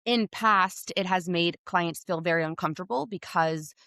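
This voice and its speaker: A woman with a low, slightly scratchy voice who speaks openly and with confidence, explaining hormone care to a podcast host. She is recorded dry and close, with no room sound. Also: moderate pace, sharp tone, no echo. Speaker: women's hormone health provider. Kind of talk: podcast interview.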